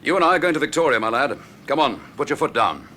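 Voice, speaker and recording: An actor playing a wry, confident police inspector in a radio drama, with a breezy, clipped air of authority. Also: male voice, mid-range voice, sing-song, fast, bright, forceful tone. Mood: affectionate, authoritative. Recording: noisy old radio drama recording.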